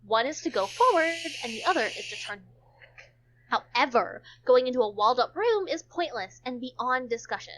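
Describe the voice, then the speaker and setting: A woman's voice: on the high side, animated, normal speed, a bit bright, rough recording. A woman reading visual novel text aloud for a stream, stumbling now and then and dropping in casual joking asides.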